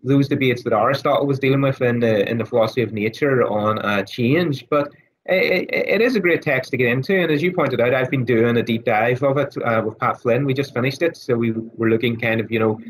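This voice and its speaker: Raspy, hoarse man explaining thoughtfully. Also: mid-range voice, brisk, rough recording. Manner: thoughtful, many fillers and restarts. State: hoarse, losing his voice. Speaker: guest scholar on Aquinas. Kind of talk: casual interview talk.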